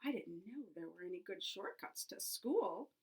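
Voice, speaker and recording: A woman's voice: medium pitch, lively intonation, moderate pace, natural voice. A woman voicing a wary, questioning schoolboy sidekick in a children's picture book. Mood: doubtful. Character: doubtful schoolboy sidekick, wary but going along.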